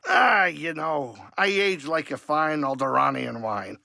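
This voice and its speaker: An actor playing a cranky but soft-hearted older alien saloon keeper in a video game, gravelly, wisecracking and folksy. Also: male voice, a little high, unhurried, no echo, full character voice. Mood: wry banter. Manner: grumbling, wisecracking, excitable, folksy. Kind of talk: video game character dialogue.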